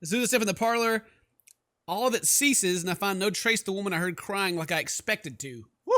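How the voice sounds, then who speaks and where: High-pitched, animated male gaming host. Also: a little fast. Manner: theatrical, sarcastic, exclamatory. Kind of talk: let's play commentary mixed with reading game text aloud.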